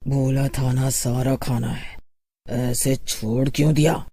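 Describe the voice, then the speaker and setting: A woman voicing a menacing witch food seller with a slow, taunting, sinister way of talking. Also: rumbling low, fairly even intonation, warm tone, husky, voice pushed down, full character voice.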